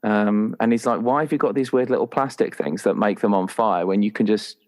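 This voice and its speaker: A man's voice: lowish voice, brisk, a little mellow. A man chatting casually and playfully as a roleplaying player, sometimes slipping into imagined dialogue. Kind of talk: casual chat, inventing a character.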